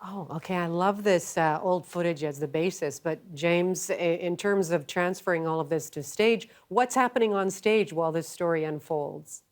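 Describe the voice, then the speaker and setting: A woman's voice: fairly low pitch, normal speed, few pauses. A woman hosting a broadcast interview, conversational, engaged and curious. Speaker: interviewer, host.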